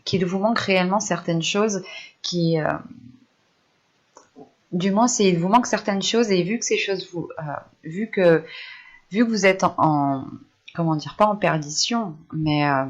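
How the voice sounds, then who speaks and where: A woman's voice: on the low side, highs cut off. A woman giving an improvised tarot reading, searching for her words and often repeating phrases as she goes. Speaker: tarot reader.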